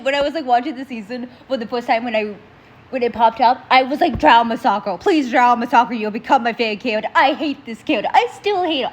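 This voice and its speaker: A young woman with a stuffy nose and sore throat, hosting a casual reaction video in an animated, opinionated way. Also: high voice, breathy edge. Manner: animated, opinionated ranting to viewers.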